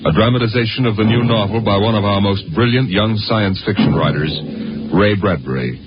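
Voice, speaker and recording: A man's voice: on the low side, brisk, very noisy. A man narrating a vintage radio drama in a solemn, theatrical style. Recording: muffled vintage radio recording under loud music. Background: loud orchestral music.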